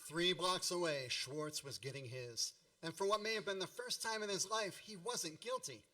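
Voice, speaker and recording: A man's voice: sits high, normal speed, a crowd in the background, natural voice. A man acting as a grown narrator wryly and dramatically retelling his boyhood mishaps in a radio play. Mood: wry, nostalgic. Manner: wry, mock-grandiose, dramatic. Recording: distant microphone.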